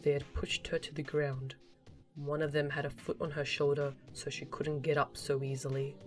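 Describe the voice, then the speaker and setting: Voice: mid-range voice, flat delivery, moderate pace, faint music behind. A narrator reading a scripted story for a fan audio drama.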